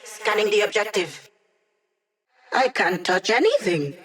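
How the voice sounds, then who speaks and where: A woman's voice: medium pitch, sing-song, fairly bright tone. An actress voicing a witty young female hacker in a video game, delivering sly, teasing quips to her team. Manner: wry, teasing, quick quips. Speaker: hacker support character.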